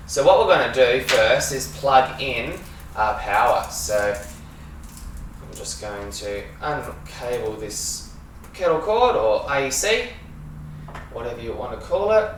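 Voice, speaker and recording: A man with an Australian accent giving a casual, halting hands-on demonstration as a sound engineer while he works. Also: medium pitch, expressive. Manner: informal, halting, talking while working with hands. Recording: echoey room, distant mic, faint noise.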